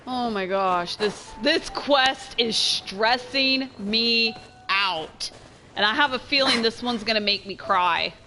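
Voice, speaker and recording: Woman, gamer streamer, bright tone, slightly high pitch, casual slangy commentary, thinking aloud, faint noise. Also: slow pace. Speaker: let's play streamer.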